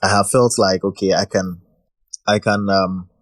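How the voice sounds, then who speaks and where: A man's voice: low-pitched, steady tone, measured pace, fairly bright tone, dry recording, clean studio sound. A man hosting a casual live audio chat, friendly and joking, often repeating words as he gathers his thoughts. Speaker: host. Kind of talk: casual discussion.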